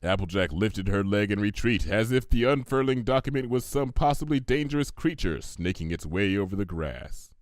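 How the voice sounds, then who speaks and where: A man reading a story aloud as an expressive narrator. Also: fairly low pitch, moderate pace, few pauses, no echo. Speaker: fan fiction reader.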